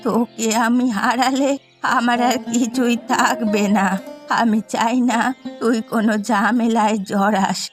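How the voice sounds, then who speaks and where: A woman acting a slow-spoken, worried village mother. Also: a little high, steady tone, faint music behind, natural voice. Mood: anxious pleading. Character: worried, poor, aging widowed village mother. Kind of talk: Bengali fairy-tale cartoon dubbing.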